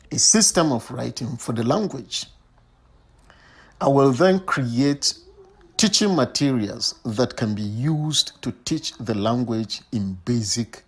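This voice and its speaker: A man's voice: mid-range voice, vocal fry, husky. A man in his fifties from Nigeria, a native Eloyi speaker with a Nigerian accent, giving a prepared academic statement. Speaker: professor of literature.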